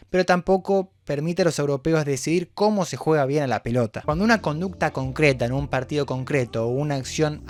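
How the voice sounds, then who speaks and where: A man with an Argentine accent who hosts a video essay, explaining his ideas in a reflective way with informal asides. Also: on the high side. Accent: Argentine Spanish. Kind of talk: scripted video essay narration.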